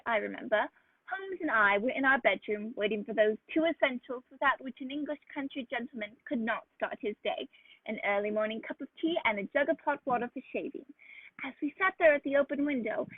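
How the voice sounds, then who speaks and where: A woman playing a genial, avuncular old gentleman doctor who tells stories in a radio play. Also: sits high, dry recording. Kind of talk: radio play acting and narration. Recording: dull lo-fi dry audio, faint music.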